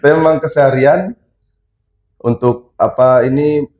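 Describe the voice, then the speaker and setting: Laid-back podcast host guy, mellow warm voice, chatty, jokey, lots of quick questions. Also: mid-range voice, dull audio. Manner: chatty, joking, asks many short questions. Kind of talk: casual podcast interview.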